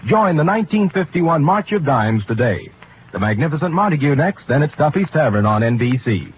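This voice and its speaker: A man's voice: on the high side, very animated, a little fast, background noise. A man announcing formally and declamatorily in the scripted style of 1950s network radio. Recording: tinny old radio broadcast, faint music.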